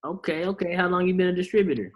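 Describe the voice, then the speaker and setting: A man's voice: sits high, rapid-fire. A man hosting a team video call, talking in a loose, slangy way and firing off rhetorical questions to motivate the group.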